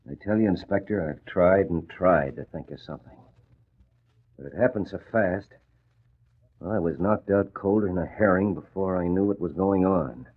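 A man's voice: sits low, normal speed, husky. A breezy young reporter from a classic radio play. He is eager and slangy, talking like a keen newspaperman on a muffled vintage broadcast recording. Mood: sheepish, rueful. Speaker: actor in a radio drama. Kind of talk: old-time radio acting.

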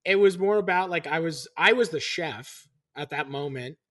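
A man with a scratchy, boyish voice, chatting excitedly as a chef with lots of fillers. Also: fairly high pitch, moderate pace. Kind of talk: casual chat.

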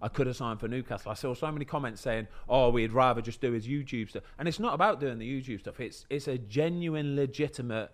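A man's voice: mid-range voice, fast, few pauses. A man, telling a story in casual podcast chat, often checking in with "do you know what I mean". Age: 30s. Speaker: podcast host and footballer.

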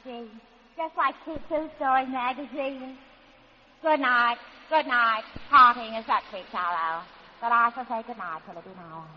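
A woman's voice: a little high, normal speed, a little hiss or hum, close to an everyday voice. Woman playing pretentious Brooklyn stage diva. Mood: melodramatic. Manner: theatrical, pompous, slipping into brash slang. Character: pretentious would-be grand actress playing Juliet, brash underneath. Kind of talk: 1940s radio comedy acting. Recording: muffled old radio broadcast recording.

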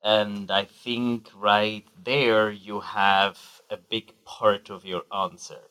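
A middle-aged man from Switzerland speaks as a guest author on a podcast. His voice sits low, yet its tone is bright. He fills his answers with hesitations and small hedges. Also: flat delivery, slow pace, clean tone, poor audio. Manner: hesitant, many fillers and hedges. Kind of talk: podcast interview.

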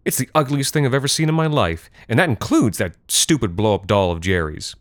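A man's voice: medium pitch, animated, brisk, no echo, studio quality, pitched above the performer's own voice, close to an everyday voice. A man voicing an exasperated middle-aged mother who scolds her husband. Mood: scolding.

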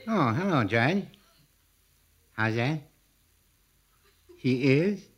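An actor playing a mild, polite small-town pharmacist with a dry, deadpan wit in 1940s radio comedy. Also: male voice, medium pitch, very slow, husky, full character voice.

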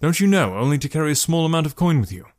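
A man's voice: a little high, sing-song, racing, a little mellow, voice pushed up. A man voicing a self-assured male archer in a story, with a commanding, curt and dry delivery. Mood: scornful.

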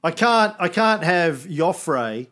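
A man's voice: on the high side, animated, slow, pushed and bright. A man hosting a history podcast in casual chat, swearing freely and drifting off on tangents before he gets back to the story. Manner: profane, wanders off on tangents. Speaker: podcast host narrating history.

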